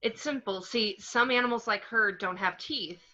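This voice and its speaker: A woman's voice: fairly high pitch, normal speed, sharp tone, dull audio. A woman acting an eager, keen-minded female scientist in a script table read. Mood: excited, explaining.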